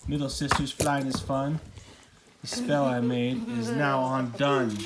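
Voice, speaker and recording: A man's voice: medium pitch, flat delivery, slow pace, a loud crowd, natural voice. A man voicing a plucky, mischievous young witch girl from a children's storybook. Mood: chanting kindly.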